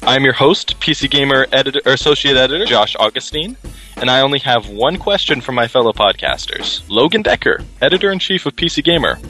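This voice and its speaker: A man hosts a casual podcast with a playful, theatrical delivery. His pitch jumps around a lot as he teases his co-hosts. A faint bed of music sits under his voice. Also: expressive, a little fast, sharp tone. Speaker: podcast host.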